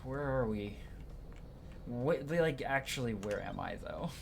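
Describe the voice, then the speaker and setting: A man's voice: medium pitch, expressive, slow pace, creaky, a little hiss or hum. A man doing casual let's play commentary, thinking aloud informally and reading on-screen text as he goes. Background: game music.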